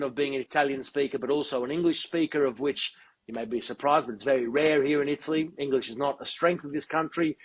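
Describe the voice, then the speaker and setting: A man being interviewed as a guest, speaking in a measured, articulate and deliberate way. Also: mid-range voice, rough recording. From Australia. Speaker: guest, football TV presenter. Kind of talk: podcast interview.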